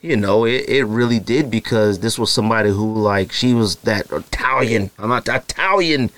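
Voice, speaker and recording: A man's voice: lowish voice, normal speed, fairly bright tone, no room sound. Dry, close-miked male podcast host. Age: adult, married. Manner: rambling and conversational, many "you know" fillers, voice jumps up when making a point. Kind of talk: casual solo podcast talk.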